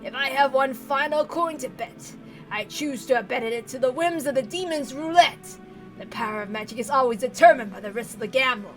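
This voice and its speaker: Woman acting a ranting, high-voiced deranged old patriarch. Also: soft background music, close to an everyday voice, rough recording. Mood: grave, fervent. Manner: theatrical howling rants, drawn-out pleading. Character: deranged, tyrannical old patriarch, obsessed with lost love.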